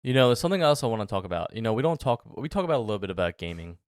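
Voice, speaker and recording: A thirty-year-old man hosts a solo podcast in a deep, smooth voice. He talks casually and loosely, circling back with ums and restarts. The recording is close and dry. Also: big pitch swings, racing, dry recording. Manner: rambling, many fillers and restarts. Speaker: podcast host.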